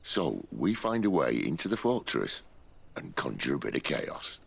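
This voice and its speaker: A man playing a wry, seasoned rebel leader in a video game, with a gruff, gravelly voice and an assured drawl. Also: rumbling low, huge pitch swings, moderate pace, heavily acted. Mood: wry authority. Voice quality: gravelly, husky. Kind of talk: dramatic video game cutscene acting.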